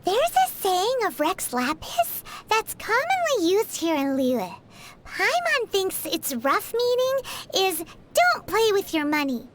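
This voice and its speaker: A woman voicing a tiny, cheeky, excitable fairy companion in a video game, with a squeaky childlike voice and a cutesy manner. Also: a little hiss or hum, heavily acted. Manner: cutesy, refers to self in third person. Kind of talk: video game character voice lines.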